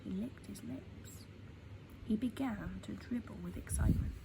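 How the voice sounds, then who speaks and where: A woman reading a children's story aloud in an expressive, dramatic way, dropping into hushed tones for suspense. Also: medium pitch, unhurried. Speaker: storytime reader. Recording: clear background noise.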